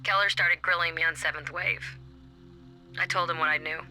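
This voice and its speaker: An actress playing a cynical, hard-bitten special operations soldier, telling her account in terse, measured phrases in a game cutscene. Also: fairly low pitch, steady tone, sharp tone, faint music behind, poor audio. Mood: grim, measured. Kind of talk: dramatic game cutscene acting.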